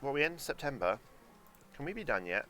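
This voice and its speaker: A man's voice: mid-range voice, very animated, fairly quick, sharp tone. A young man streaming a theme park game at home, chatting with his chat in a sarcastic, rambling way and swearing now and then. German is his first language. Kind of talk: casual live-stream commentary.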